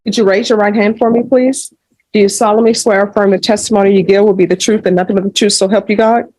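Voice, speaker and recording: A woman's voice: mid-range voice, steady tone, fast talker, warm tone, no room sound, low-quality recording. A woman presiding as a judge, giving formal courtroom rulings and procedural instructions. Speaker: judge presiding over hearing.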